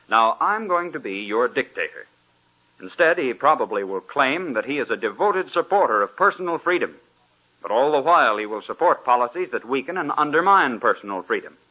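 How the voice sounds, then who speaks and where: A man reads a formal, declaiming sponsor message on old-time radio. His pitch moves lively and his voice is husky. The sound is thin and phone-like. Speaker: sponsor announcer. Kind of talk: scripted radio announcement. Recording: tinny old radio broadcast.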